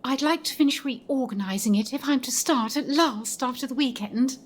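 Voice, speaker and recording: A woman's voice: high voice, moderate pace. A woman playing an anxious, curious new governess in an audio drama, earnest and questioning. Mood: uneasy curiosity. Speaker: amateur actor. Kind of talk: community audio drama acting.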